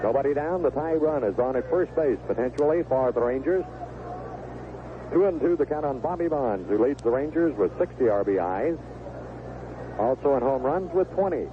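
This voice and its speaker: A man's voice: medium pitch, lively intonation, moderate pace. A man calling live baseball play-by-play on the radio as the game's announcer. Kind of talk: live sports commentary. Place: stadium broadcast booth. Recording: narrow, noisy 1970s AM radio broadcast.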